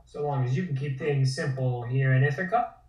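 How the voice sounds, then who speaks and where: A man's voice: medium pitch, darkish tone, dull audio, natural voice. Man as hesitant young bootlegger, distant and echoey. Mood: eager, halting. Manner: hesitant, halting. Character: young, eager, slow-witted bootlegger, deferential. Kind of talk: comic table-read acting. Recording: echoey room, distant from mic.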